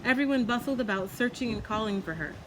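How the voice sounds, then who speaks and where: A woman's voice: fairly high pitch, lively intonation, fast talker. A woman narrating a children's story from a book as a storyteller. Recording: clearly noisy background.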